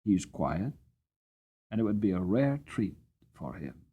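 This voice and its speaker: A cheerful Victorian London cabman , acted by a man, hearty and kindly. He speaks in dramatic storybook style, with animated pitch that swings up and down. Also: unhurried, husky, phone-quality sound, full character voice. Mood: polite, kindly.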